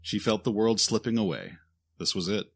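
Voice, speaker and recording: A man's voice: lowish voice, moderate pace, a bit bright, slightly rough. A man reading scripted audio drama narration in a dramatic, solemn storytelling manner.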